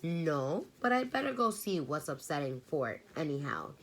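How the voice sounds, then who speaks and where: A woman as a calm brisk mother. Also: deep voice, slight background noise, full character voice.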